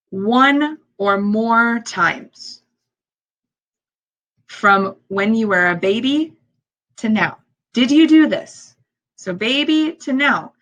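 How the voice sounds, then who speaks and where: A woman who is a native English speaker, teaching language learners step by step in a deliberate way, repeating key phrases and posing questions. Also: medium pitch, fairly bright tone, low-quality recording. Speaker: ESL teacher.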